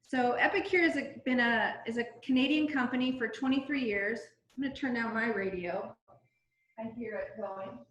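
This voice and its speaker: A woman's voice: a little high, a bit bright. A middle-aged woman from Southern California gives a casual cooking-product demonstration over a video call from home. She talks in a chatty, informal way, with many ums and restarts. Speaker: direct-sales cooking consultant. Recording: roomy video-call audio.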